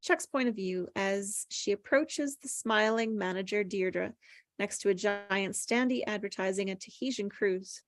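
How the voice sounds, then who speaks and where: A woman's voice: mid-range voice, a little slow, no echo. A woman reading screenplay stage directions as plain, descriptive narration at a table read.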